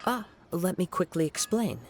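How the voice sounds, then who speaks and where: Calm young wandering samurai. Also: male voice, high voice, a little hiss or hum. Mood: calm, polite. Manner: calm, formal, measured. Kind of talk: video game voice acting.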